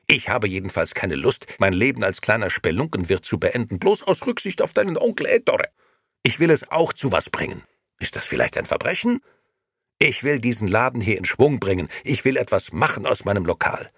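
A man's voice: on the low side, expressive, brisk, few pauses, fairly bright tone, no room sound, studio quality, voice pushed up. A man voicing a nervous, irritable, ambitious male innkeeper who justifies himself defensively, in an audiobook. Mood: agitated, pleading. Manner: agitated, defensive, self-justifying. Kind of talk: audiobook character voice.

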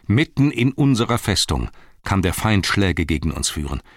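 A man voicing a grave, low-voiced old wizard. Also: sing-song, moderate pace, no room sound, studio quality. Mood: heavy resolve. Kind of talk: fantasy audiobook character reading.

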